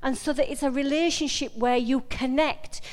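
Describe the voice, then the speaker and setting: A woman preacher with a Yorkshire accent speaks in a slightly high-pitched voice that carries long hall reverb. She delivers an informal sermon, conversational and emphatic, circling back on phrases and addressing her listeners directly. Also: fairly even intonation, moderate pace. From Yorkshire, England. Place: large indoor meeting room.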